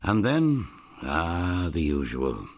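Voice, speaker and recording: Very deep-voiced Irish man, wry storyteller narrating a radio play. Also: big pitch swings, takes it slowly.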